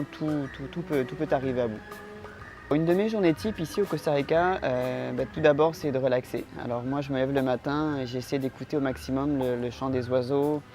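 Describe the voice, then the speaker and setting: A calm man from France talks at a middle pitch, pausing often with filler sounds as he answers questions. Music plays clearly beneath his voice throughout. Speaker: interior designer, interviewee. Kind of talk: casual interview answers. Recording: music playing underneath.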